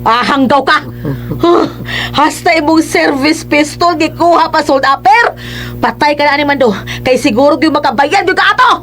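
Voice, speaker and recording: Exasperated wife, high dramatic voice. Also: female voice, moderate pace, fairly bright tone, soft background music. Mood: alarmed, scolding. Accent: Cebuano. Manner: wailing, dramatic, scolding. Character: exasperated, anxious scolding wife of a cowardly policeman. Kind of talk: Cebuano radio drama acting.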